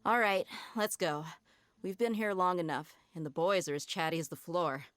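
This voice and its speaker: A woman's voice: fairly low pitch, moderate pace, fairly bright tone, a little hiss or hum, close to an everyday voice. A woman voicing a brash, hot-tempered young female mercenary in a video game, with a breezy, cocky manner. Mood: mild concern. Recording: music behind the voice.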